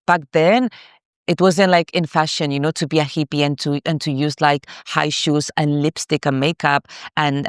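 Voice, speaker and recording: A woman's voice: sits low, smooth, no room sound, clean studio sound. A woman in her thirties from Morocco, a coach candidly telling her own story in a masterclass, repeating herself with many fillers. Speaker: spiritual coach.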